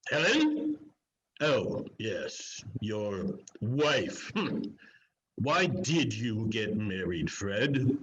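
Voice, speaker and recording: A man performs a grumpy old miser from an amateur dramatic reading. He is scornful and gruff, with slow, husky delivery that swings widely. The audio is a little dull. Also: on the low side, very animated, a bit bright, heavily acted. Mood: dismissive. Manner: gruff, curt, exclamatory. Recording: dull lo-fi video-call audio.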